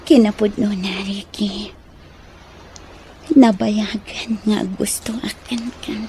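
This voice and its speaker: A woman acting a wistful woman in love in a Filipino radio drama, soft and breathy, with an Ilocano accent and a hesitant manner. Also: mid-range voice, little pitch movement, slow, slight background noise. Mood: tender. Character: earnest, wistful woman in love named Paula.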